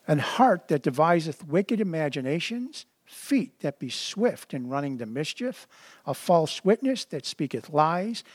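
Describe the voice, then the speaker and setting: High-voiced man preaching, dry sound. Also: no room sound. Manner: conversational, repeats words when starting sentences; reads scripture slowly and deliberately. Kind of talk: sermon with scripture reading.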